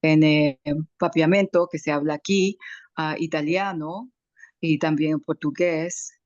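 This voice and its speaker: A woman with a slight foreign accent in her Spanish, giving an emotional, grateful personal testimony on a video call, halting with many restarts. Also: low voice, steady tone, darkish tone, dry recording. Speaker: webinar participant. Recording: dry, muffled video call audio.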